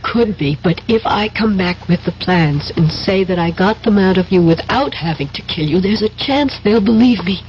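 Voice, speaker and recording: A woman's voice: mid-range voice, animated, normal speed, few pauses, lightly acted. An actress playing an earnest, insistent woman double agent in a 1970s radio drama. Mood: urgent, pleading. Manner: urgent, insistent. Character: earnest, pleading femme fatale double agent. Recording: muffled, noisy old radio drama recording.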